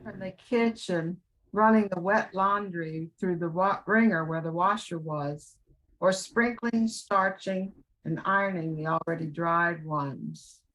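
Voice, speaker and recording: Slow-paced older American woman reminiscing. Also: lowish voice, a bit bright, poor audio. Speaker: class member sharing radio memories. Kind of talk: prepared personal reminiscence, read aloud.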